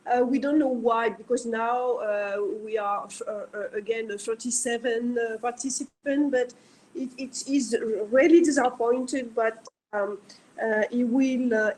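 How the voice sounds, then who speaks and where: A woman moderator with a French accent speaks in a mellow, fairly high voice. As a native French speaker she goes a little slowly and haltingly, repeating words as she hosts. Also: poor audio. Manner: halting, frequent word repeats. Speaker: webinar moderator for a book office. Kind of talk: unscripted event hosting.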